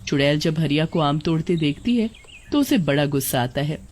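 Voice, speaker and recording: A woman's voice: low-pitched, animated, fairly quick, a little mellow. A woman storyteller reading a scripted tale as narrator.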